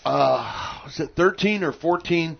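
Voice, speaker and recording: Raspy male talk radio host. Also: a little high, measured pace. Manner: joking, rambling. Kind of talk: casual radio chat. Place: radio studio. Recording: lo-fi radio broadcast.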